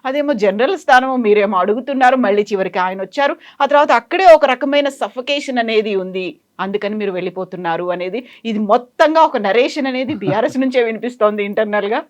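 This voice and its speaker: A woman's voice: on the high side, brisk. A woman interviewer putting probing political questions conversationally, mixing in English words.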